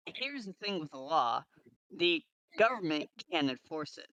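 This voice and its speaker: A man's voice: a little high, very animated, a little slow, bright, forceful tone, no room sound, highs cut off. A man chatting casually over a voice call, explaining things in a matter-of-fact way. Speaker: multiplayer pilot guest.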